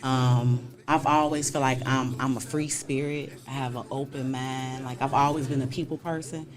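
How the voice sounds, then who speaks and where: A woman in her thirties, a stand-up comic, telling her story in a relaxed, laughing way. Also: very deep voice, moderate pace, crowd noise. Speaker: guest comedian. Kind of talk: casual interview chat.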